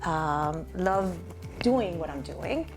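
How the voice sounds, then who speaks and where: A female interior designer from Iran chats casually as a guest in an interview. Her voice is on the low side for a woman, and music is clearly heard behind it. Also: expressive, very slow.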